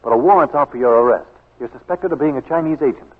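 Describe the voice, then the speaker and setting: Actor as fast-talking embassy man, old radio. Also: mid-range voice, breathy edge, close to an everyday voice. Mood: brisk, earnest. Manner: businesslike, frank. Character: brisk, friendly American embassy official. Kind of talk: 1940s radio drama acting. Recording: tinny, scratchy 1940s radio recording.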